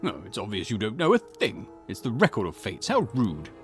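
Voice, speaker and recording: A man's voice: fairly low pitch, wildly swooping pitch, a little fast, soft background music. A man voicing a conceited, irritable historian and occult researcher in a visual novel, pompous, snappish and condescending. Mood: haughty, impatient. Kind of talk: acted visual novel dialogue.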